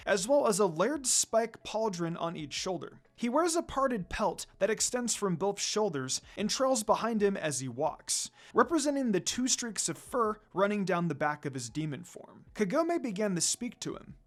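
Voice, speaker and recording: A man reading fan fiction aloud as a scripted story narration. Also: sits high. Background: music.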